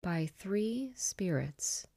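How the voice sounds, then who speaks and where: A woman reading aloud, voicing a tormented male ghost in chains who speaks slowly and often sinks to a breathy whisper. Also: mid-range voice, animated, warm tone, no echo, clean studio sound, pitched above the performer's own voice, full character voice. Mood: mournful. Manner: slow, dragging, breathy whispering delivery.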